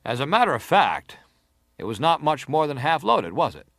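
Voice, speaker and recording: An actor playing an insistent senator who leads a formal inquiry, questioning in a pressing, formal manner. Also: male voice, a little high, very animated, moderate pace, a bit bright, no room sound, clean studio sound. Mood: probing, formal. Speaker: actor reading testimony. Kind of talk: dramatized reading of hearing testimony.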